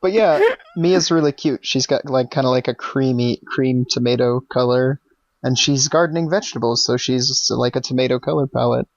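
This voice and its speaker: A man co-hosting a casual podcast, relaxed and chatty, laughing often and trailing off mid-sentence. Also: medium pitch, normal speed, no echo, dull audio. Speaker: podcast co-host. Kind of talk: casual podcast chat critiquing fan characters.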